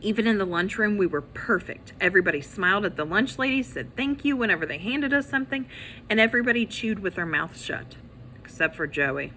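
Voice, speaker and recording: A woman reading a children's story aloud as a teacher, in an expressive, animated way that acts out the characters. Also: mid-range voice, fairly quick, a little hiss or hum, poor audio.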